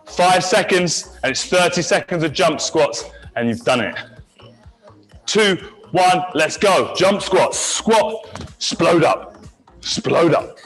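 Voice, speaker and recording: A man leads a high-intensity workout as its instructor. His voice sits a little high for a man. He mixes encouragement with countdowns and clipped commands, with music playing softly behind him. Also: animated, slow, rough recording. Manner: encouraging, counting down, barking short cues. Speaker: fitness instructor. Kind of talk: leading a live HIIT workout class.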